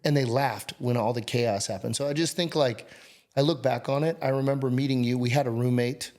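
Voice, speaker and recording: A man in his forties as a podcast guest, talking in an upbeat, energetic, casual way. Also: mid-range voice, brisk. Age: 40s. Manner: upbeat, energetic, restarts and slang. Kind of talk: casual interview chat.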